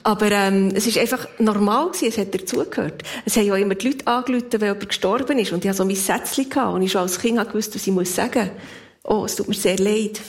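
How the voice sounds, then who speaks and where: A woman's voice: medium pitch, fast. A woman in her fifties with a Swiss accent, telling personal stories in a casual, reflective way with an easy laugh. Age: 50s. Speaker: interview guest.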